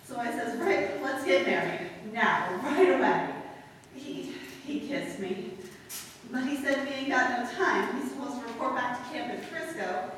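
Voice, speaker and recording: A woman's voice: sits high, lively intonation, unhurried, a bit bright, a little hiss or hum. A woman acting a tough, slangy war widow who talks bluntly and impatiently, in a live old-time radio play. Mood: tearful. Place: live stage performance. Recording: echoey hall, mic heard from far.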